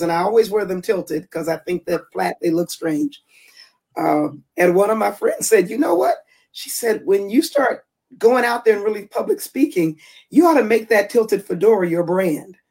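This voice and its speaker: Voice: mid-range voice, a little mellow, breathy edge. Earnest speaker-trainer guest on a call, stresses points by repeating them. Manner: earnest, emphatic, repeats key phrases for stress. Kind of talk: casual interview chat. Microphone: remote call.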